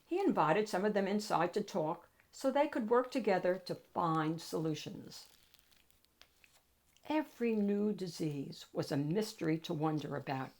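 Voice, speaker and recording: A woman narrating a children's picture book in an expressive, emphatic storytelling manner with deliberate phrasing. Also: medium pitch. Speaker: storybook reader, read-aloud host.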